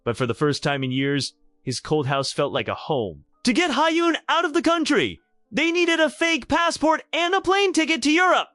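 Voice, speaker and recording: A man's voice: very high-pitched, sing-song, normal speed, bright, forceful tone, dry recording, clean studio sound, soft background music. A man narrating a scripted romantic drama in a theatrical, emphatic storytelling style.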